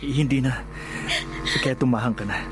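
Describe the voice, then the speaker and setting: A man's voice: mid-range voice, normal speed, music in the background, natural voice. An actor playing a worried young husband consoling his wife in a horror radio drama.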